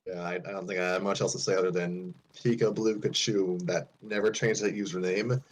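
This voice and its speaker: A man's voice: deep voice, fairly even intonation, a little fast. A man hosting a casual podcast discussion about a story. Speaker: podcast host.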